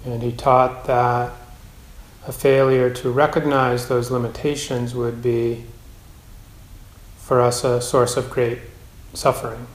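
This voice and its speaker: A man teaching a guided meditation in a measured, gentle way, with long pauses between phrases. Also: mid-range voice, level tone. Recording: roomy, echoing space.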